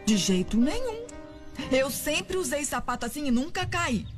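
High-voiced bossy Brazilian sister-in-law. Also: female voice, very animated, moderate pace. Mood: coaxing. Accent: Brazilian Portuguese. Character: elegant, bossy, scheming sister-in-law in a telenovela. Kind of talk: dubbed telenovela acting. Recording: music under dubbed dialogue.

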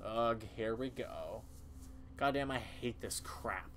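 A man's voice: medium pitch, takes it slowly, fairly bright tone, slight background noise. A man who hosts gaming videos, reading a story game's dialogue aloud and reacting to it. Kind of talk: voiced playthrough of a story game.

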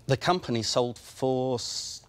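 Entrepreneur, male, forties, English accent, measured answers under questioning. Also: medium pitch, clear, resonant tone. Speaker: entrepreneur pitching. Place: large brick-walled studio, indoors.

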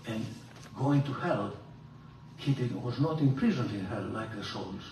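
An older man, a priest, giving a theology lecture in an animated, emphatic way, filmed from across a large room. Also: measured pace, slight background noise. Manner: animated, gesturing, emphatic rises in pitch. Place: large room with hard walls. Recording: roomy hall echo, from far off.

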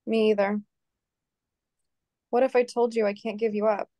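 A woman's voice: medium pitch, flat delivery, normal speed, mellow, dry recording, close to an everyday voice. A woman acting an earnest, yearning romantic lead in a film script read. Her mid-range voice comes through a video call with very little room sound. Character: earnest, yearning actress in forbidden love. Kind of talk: screenplay table reading.

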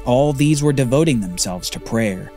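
A man hosting a podcast, telling a Bible story as scripted narration with dramatic pauses. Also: mid-range voice, lively intonation, mellow, faint music behind.

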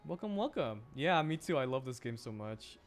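A man's voice: medium pitch, very animated, normal speed, faint music behind. A man doing casual live gameplay commentary as a streamer, talking to himself in exasperated questions. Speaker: game streamer.

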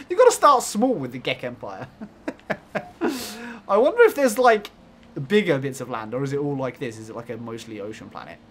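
A young man doing let's-play commentary, giggling often, croaky today, mumbling to himself one moment and shouting with excitement the next. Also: medium pitch, normal speed, a little hiss or hum. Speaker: gaming YouTuber.

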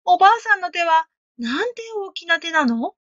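A woman voicing a cheerful, innocent little girl in a storybook reading, with bright sing-song questions. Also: extremely high pitch, very animated, moderate pace, no room sound, highs cut off, pitched above the performer's own voice, exaggerated voice. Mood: wondering. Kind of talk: storybook read-aloud.

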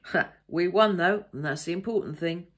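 A woman's voice: lowish voice, normal speed, lightly acted. A woman voicing a talkative, pompous older man in an audiobook, blustering and patronising in colloquial speech. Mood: indignant. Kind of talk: audiobook character dialogue.

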